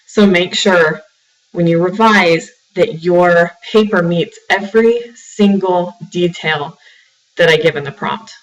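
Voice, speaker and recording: A woman's voice: fairly low pitch, slow, distant mic, highs cut off. A woman writing instructor with a sore, slightly froggy throat, giving a recorded class lecture full of "um"s and repeated words. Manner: filler-heavy, repeats words when restarting.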